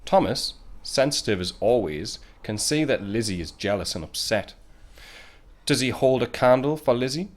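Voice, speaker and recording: A man's voice: mid-range voice, lively intonation, normal speed, close to an everyday voice. A man playing a wistful, reflective narrator of an audio play, who watches those he loves. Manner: reflective storytelling.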